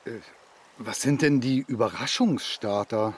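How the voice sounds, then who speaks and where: A man's voice: mid-range voice, a little slow, a little hiss or hum, close to an everyday voice. An actor playing a timid, hesitant foster father who stammers and evades in a children's radio play. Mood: hesitant, uneasy.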